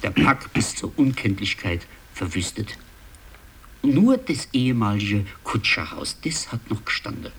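A man playing a rambling, excitable land registry clerk and genealogy buff in a radio play, with a husky voice and a halting, insistent delivery. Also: lowish voice, full character voice. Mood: animated, gossipy. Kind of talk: conversational radio play acting.

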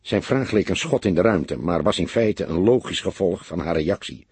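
A very husky man narrates a detective story in a fairly low voice. His scripted delivery is dramatic, with lively rises and falls, as befits an audiobook. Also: normal speed, no room sound.

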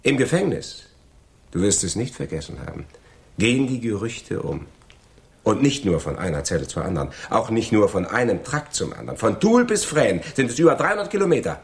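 An actor playing a cold, gloating criminal in a radio crime drama, taunting and deliberate in his delivery. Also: lowish voice, sing-song, creaky, breathy edge, exaggerated voice. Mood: accusing, scornful. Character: cold, gloating male criminal double-crossing his accomplices.

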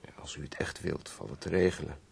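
The soft, reassuring bedside companion in a radio play, very deep and rough with husk. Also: male voice, fast talker, exaggerated voice. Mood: gentle concern. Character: gentle, consoling male friend at a sickbed. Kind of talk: radio drama acting.

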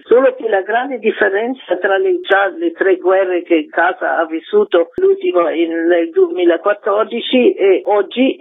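A woman's voice: medium pitch, slightly rough. Woman radio guest, earnest political commentary, heard over a narrow tinny phone line. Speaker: radio guest presenting a film screening.

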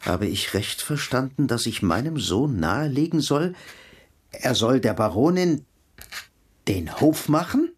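Stern older puritan father, period radio drama. Formal, easily scandalised, animated intonation. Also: mid-range voice, a little slow, slightly rough. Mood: sceptical, scandalised. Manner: stiff, formal, disapproving. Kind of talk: radio drama dialogue, period literary adaptation.

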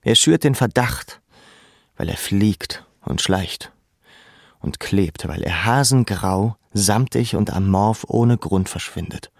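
A man reading scripted literary prose as a narrator, in a measured, deliberate, sombre manner. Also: lowish voice, vocal fry, dry recording, studio quality.

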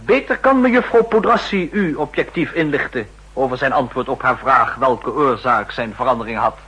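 An actor playing a driven counter-intelligence official, formal, pointed and emphatic, in a 1960s radio drama. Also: male voice, mid-range voice, lively intonation, normal speed, pushed and bright, exaggerated voice, rough recording. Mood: accusing, triumphant. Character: driven, insistent male counter-intelligence official making accusations. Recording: rough old 1960s radio recording.

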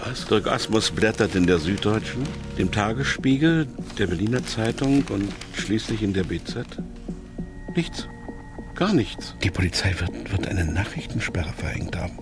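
A man's voice: on the low side, expressive, moderate pace, over music. An actor playing an anxious captive businessman trying to stay composed, in radio play monologue and narration. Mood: tense, inward.

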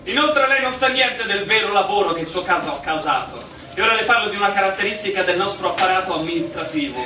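An echoey room recording of a pompous village mayor in a radio drama. His high voice declaims stubbornly and dismissively, some distance from the mic. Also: lively intonation, fast talker, sharp tone, faint music behind. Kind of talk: stage-style radio drama acting.

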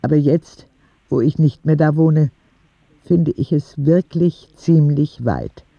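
Husky frank, cheeky boy narrator. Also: on the high side, takes it slowly, no room sound, highs cut off, heavily acted. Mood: wry. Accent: standard German. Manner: candid, wry, conversational storytelling. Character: ten-year-old sick boy Oskar, frank, cheeky, telling his story. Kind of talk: audio drama narration as letters to God.

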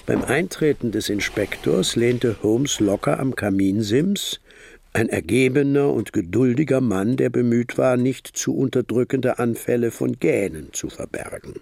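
An actor playing a detective's admiring companion, narrating a radio drama in a measured, literary storytelling manner. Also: male voice, mid-range voice, vocal fry, darkish tone, dry recording.

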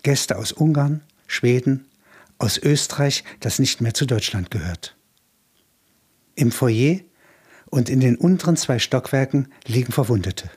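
A wartime radio chronicler with a strikingly husky, rough-edged voice. His pitch is medium and his manner musing and plain-spoken. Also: expressive, unhurried, darkish tone. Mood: factual.

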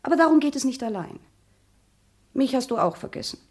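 An actress playing a haughty, impatient big-city fiancée in a German radio play, scornful and imperious. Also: female voice, high voice, very animated, brisk, a little mellow, slightly rough, exaggerated voice. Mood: reproachful, demanding. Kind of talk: German radio drama acting.

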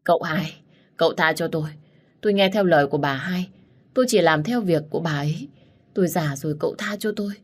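A woman's voice: fairly low pitch, a little fast, close to an everyday voice. A woman voicing a pitiful old female servant who pleads desperately for mercy, wailing as she begs. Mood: begging.

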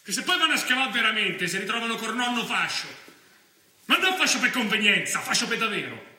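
Young Roman extremist thug: high, piercingly bright, crude, swaggering, slangy street monologue. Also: male voice, sing-song, a little fast, heavily acted. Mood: restless, confiding. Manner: foul-mouthed, slangy, repetitive. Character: young Roman neo-fascist militant, crude, restless, swaggering. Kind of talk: theatrical monologue. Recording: echoey room from far off, faint noise.

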